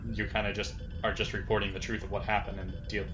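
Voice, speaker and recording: A man's voice: fairly low pitch, little pitch movement, quick pace, lo-fi sound, music in the background. A man talking casually through options as a player in a tabletop game. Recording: clear background noise, room ambience.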